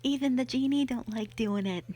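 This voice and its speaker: A woman's voice: a little high, moderate pace, warm tone, close to an everyday voice. A woman playing a rambling, easygoing, flirty magic mentor in a fantasy roleplay audio drama, talking in a hesitant, offhand way full of asides. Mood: chatty, musing.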